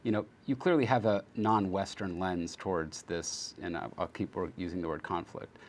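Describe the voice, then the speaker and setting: Very deep-voiced hesitant male interviewer. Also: fairly even intonation, normal speed, a little hiss or hum. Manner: hesitant, many "you know" fillers. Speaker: host, interviewer. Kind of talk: interview questions over video call. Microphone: video call microphone.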